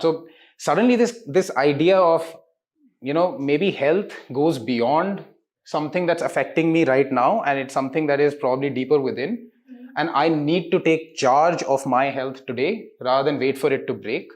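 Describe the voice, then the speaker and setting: A man, giving articulate explanatory interview answers peppered with rhetorical questions. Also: mid-range voice. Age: 30s. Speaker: interviewed diagnostics company representative.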